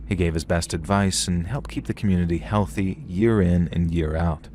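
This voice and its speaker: A man narrating a story in a calm, steady storytelling manner. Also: sits low, normal speed, a little hiss or hum. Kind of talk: scripted horror story narration.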